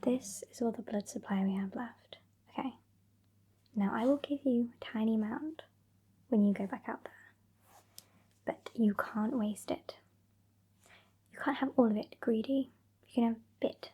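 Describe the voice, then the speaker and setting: A woman's voice: on the high side, moderate pace, pauses often. A woman playing a haughty, sassy female vampire with a British accent in a whispered ASMR roleplay, condescending and exasperated. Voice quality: whispery, breathy. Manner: condescending, exasperated, softly scolding. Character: sassy, haughty old female vampire scolding her fledgling.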